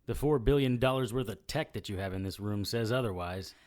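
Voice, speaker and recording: A man's voice: lowish voice, fairly quick, a little mellow, natural voice. An actor playing a defiant, wisecracking private investigator in a radio drama, terse and sardonic with his captor.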